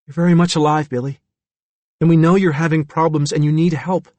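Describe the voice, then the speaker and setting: Audiobook role: calm authoritative woman physician read by a man, lifted pitch, gentle yet firm. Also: no room sound, lo-fi sound, natural voice. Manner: reassuring, measured, in control. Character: gentle but firm, persuasive woman doctor.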